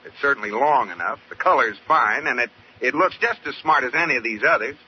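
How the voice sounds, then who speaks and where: An actor playing a wry, teasing, mildly exasperated young husband in a 1940s radio sitcom. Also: male voice, mid-range voice, animated, fast talker, close to an everyday voice. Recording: muffled, noisy 1940s radio recording.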